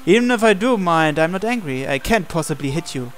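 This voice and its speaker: A man with a slightly high voice reads a visual novel aloud. He takes the male lead's dialogue and thoughts in character. Faint music plays beneath him. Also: animated, fairly quick. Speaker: gameplay reader voicing the male protagonist. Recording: music playing under the voice.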